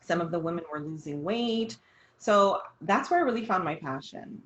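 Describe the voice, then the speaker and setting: A woman's voice: lowish voice, expressive, moderate pace, fairly bright tone, highs cut off. A woman in her thirties from Jamaica with a slight Jamaican accent, a dietitian telling her own story in an earnest, conversational way with many fillers. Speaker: dietitian, host. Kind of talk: casual conversational discussion.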